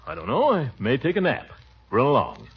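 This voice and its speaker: An actor playing a blustery, pompous middle-aged uncle, booming and hearty with chuckling laughs, in 1940s radio comedy. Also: male voice, huge pitch swings, brisk, creaky, breathy edge, exaggerated voice. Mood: bossy. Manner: hearty and bossy, stretches out his words. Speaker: head of the household. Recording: dull 1940s radio broadcast.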